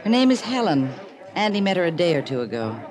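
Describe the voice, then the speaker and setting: A jaded, sardonic American woman of the party set in an old radio drama. She talks drily and knowingly, with animated swings of pitch. A crowd chatters behind her in the vintage recording. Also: quick pace. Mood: dry, knowing. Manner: dry, worldly, self-mocking.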